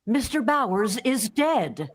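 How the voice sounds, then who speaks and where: A woman's voice: fairly high pitch, sing-song, noisy background. A woman playing a businesslike, matter-of-fact hospital switchboard operator in a vintage-style radio drama. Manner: brisk, official.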